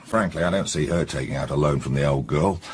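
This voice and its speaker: An actor playing a gruff, no-nonsense middle-aged English chief inspector in a radio detective drama, blunt and colloquial. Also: male voice, extremely low pitch, a little hiss or hum. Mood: sceptical. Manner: blunt, colloquial, brisk summing-up.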